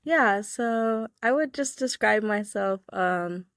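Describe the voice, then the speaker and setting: A woman's voice: mid-range voice, slow, a bit bright, no room sound, studio quality. A young woman, a student guest from Georgia in the United States, answering interview questions casually with many "like"s and "um"s. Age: young adult. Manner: chatty, many fillers like "like" and "um".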